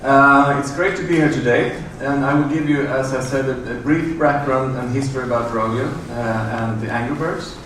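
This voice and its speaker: A middle-aged man giving a conference talk in a large hotel room, halting with many fillers and restarts. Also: mid-range voice, a bit bright. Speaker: conference presenter. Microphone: room audio from a far camera. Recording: echoey, distant room recording with noise.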